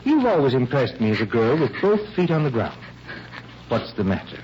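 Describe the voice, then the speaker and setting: Concerned paternal lawyer male actor, formal and emphatic, very animated pitch, old radio drama with muffled sound. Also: normal speed, close to an everyday voice. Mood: alarmed, protesting. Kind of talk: 1940s radio acting. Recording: muffled vintage radio recording, faint hiss.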